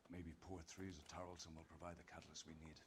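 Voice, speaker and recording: An actor playing a fiery, self-righteous police captain in a 1940s detective game, oratorical and commanding. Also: male voice, sits low, flat delivery, very fast, background noise, close to an everyday voice. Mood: righteous, grandiose.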